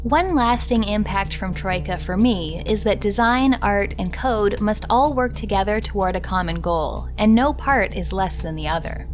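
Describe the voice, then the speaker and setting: A woman's voice: medium pitch, moderate pace, hardly any pauses, soft background music. A woman reading out first-person quotes as scripted narration for a documentary. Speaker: reader of quotes.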